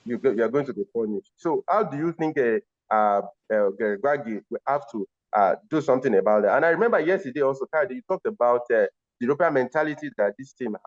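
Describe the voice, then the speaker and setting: A man hosts a football panel discussion. He speaks at an average pitch and puts questions to his guests. The audio is a little dull and lo-fi. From Nigeria. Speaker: host.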